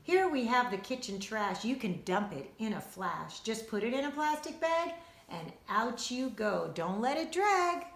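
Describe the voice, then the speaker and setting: A woman voicing a jolly, bossy, energetic male handyman in a storybook, chirpy and coaxing. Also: on the high side, measured pace. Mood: cheerfully boastful. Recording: roomy, echoing indoor sound.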